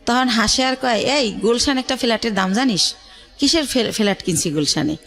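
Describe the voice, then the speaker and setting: A middle-aged Bangladeshi woman with a fairly high voice speaks as a radio interview guest. She recounts personal experiences in a halting way, pausing often as she goes. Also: fairly bright tone. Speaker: guest telling her life story.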